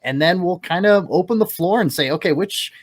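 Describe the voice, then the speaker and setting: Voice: medium pitch, brisk. A co-host running a segment of a hockey podcast, organized and jokey. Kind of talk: casual hockey podcast chat.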